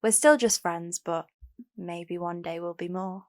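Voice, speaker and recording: Casting: actress as young homeless singer, guarded yet hopeful, slightly low voice, candid audio drama delivery. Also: female voice, a little slow, darkish tone, close to an everyday voice. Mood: reflective, candid. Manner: plain-spoken, candid, short replies. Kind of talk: first-person audio drama narration and dialogue.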